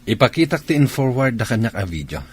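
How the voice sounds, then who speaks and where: A man's voice: mid-range voice, sing-song, fairly quick, a little mellow, a little hiss or hum, lightly acted. A man with an Ilocano accent playing a stern, brooding soldier in a radio drama. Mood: suspicious, angry.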